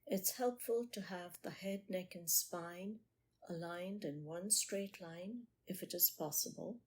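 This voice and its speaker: Woman, meditation teacher, very unhurried delivery, husky tone, short measured phrases, pauses, instructional. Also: lowish voice. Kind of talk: guided meditation.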